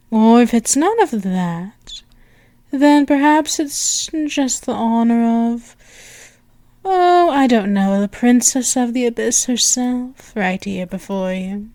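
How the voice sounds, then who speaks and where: A woman playing an imperious demon princess in an intimate ASMR roleplay, teasing and tender, with regal pauses and breathy murmurs. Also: a little high, a little mellow, no echo. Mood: seductive, reassuring. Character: imperious, seductive demon princess, amused and tender toward servant. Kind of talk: ASMR roleplay, intimate one-sided dialogue.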